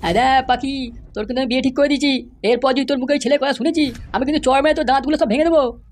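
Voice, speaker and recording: Old village dad voiced by a man, unusually high voice, blustering, effusive, eager to please, comedy cartoon. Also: fairly even intonation, rapid-fire. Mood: stern threatening.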